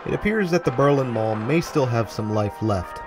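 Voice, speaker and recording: A man's voice: medium pitch, lively intonation, normal speed. A man delivering scripted, documentary-style narration for a walkthrough video. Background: background music.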